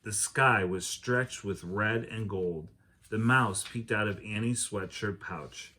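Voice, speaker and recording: A man with a fairly low voice reads a children's adventure book aloud. He tells it expressively and acts out the characters' lines as he goes. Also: slow pace, sharp tone. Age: adult. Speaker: teacher reading aloud.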